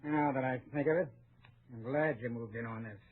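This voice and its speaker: A man's voice: mid-range voice, brisk, husky, close to an everyday voice. An actor playing a cocky, hot-headed gunman in an old-time radio western, goading and boastful. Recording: thin, phone-like old radio recording.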